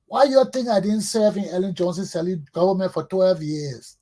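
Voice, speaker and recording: A man's voice: high voice, moderate pace, mellow. A middle-aged man with a Liberian accent delivers an impassioned, combative political monologue as a talk show host, emphatic and preachy, hammering phrases through repetition.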